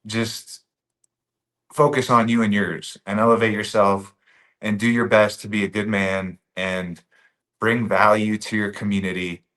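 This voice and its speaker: A man's voice: on the low side, flat delivery, unhurried, fairly bright tone. Earnest man, repeating his points. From Bosnia. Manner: earnest and emphatic, repeats key phrases. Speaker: podcast guest. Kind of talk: casual podcast conversation.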